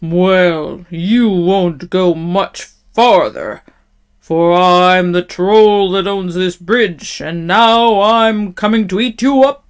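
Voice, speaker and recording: A woman voicing a big bullying male troll from a storybook, loud and menacing, with drawn-out words. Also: fairly low pitch, smooth. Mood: menacing, gloating. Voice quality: loud, forceful.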